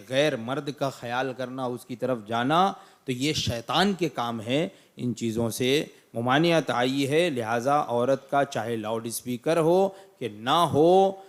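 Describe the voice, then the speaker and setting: A man speaking as an Islamic cleric, answering a religious question in a didactic, explanatory way with emphatic repetition. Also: mid-range voice. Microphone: microphone through a loudspeaker system.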